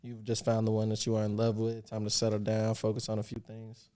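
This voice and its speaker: A man's voice: fairly low pitch, monotone, brisk, warm tone. A young man hosting a casual podcast chat, asking loose, slangy questions and laughing often. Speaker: podcast host.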